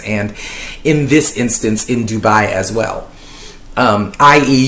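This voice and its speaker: A woman's voice: very deep voice, slight background noise. A woman from Lebanon in her thirties, talking casually to her webcam from a sofa, chatty and wordy with a dry, ironic streak and plenty of fillers. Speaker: YouTuber. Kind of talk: casual commentary vlog. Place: living room, on a sofa. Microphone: webcam at desk distance. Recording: echoey room.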